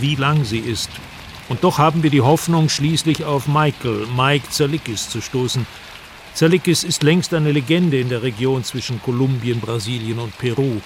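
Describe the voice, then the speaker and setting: A man acting as a male investigative reporter tells his story in measured, literary radio-drama narration. His pitch is mid-range, and clear outdoor ambience with traffic runs behind him. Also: close to an everyday voice. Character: male investigative reporter recounting a jungle search. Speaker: first-person narrator of a radio documentary drama.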